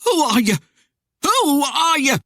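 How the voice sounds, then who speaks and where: A woman voicing a frightened, blustering rustic man who bellows in dialect with a high, squeaky croak. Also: expressive, normal speed, fairly bright tone, dry recording, clean studio sound. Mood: terrified, pleading. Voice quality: squeaky croak when afraid, high-pitched.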